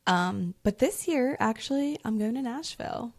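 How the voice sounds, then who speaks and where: A young adult woman co-hosting a podcast in relaxed, chatty talk full of "like". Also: on the high side, moderate pace. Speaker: podcast co-host. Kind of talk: casual chat.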